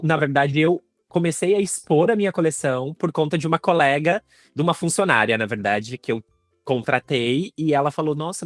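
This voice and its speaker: A man's voice: mid-range voice, dry recording, clean studio sound. A man with a Brazilian accent in casual, personal podcast chat, speaking informally as one of the co-hosts. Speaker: podcast co-host.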